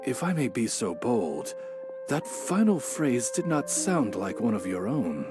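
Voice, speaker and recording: A man's voice: medium pitch, very animated, measured pace. A man voicing a courteous talking raven in a video game, formal and deferential like a butler. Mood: politely questioning. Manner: formal, deferential, German honorific. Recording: music under the dialogue.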